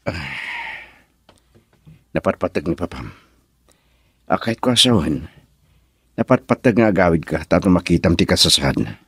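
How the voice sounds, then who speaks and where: Considerate young Filipino boyfriend for radio drama, low-pitched voice. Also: male voice, lively intonation, normal speed, pauses often, fairly bright tone, slightly rough, no room sound, studio quality, full character voice. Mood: understanding. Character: young male suitor, considerate sweetheart.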